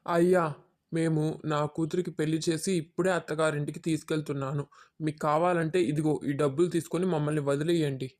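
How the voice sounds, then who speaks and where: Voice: mid-range voice, steady tone, a little fast, darkish tone, lightly acted. An actor playing a meek, polite village father in a cartoon. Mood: pleading. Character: humble poor old village father.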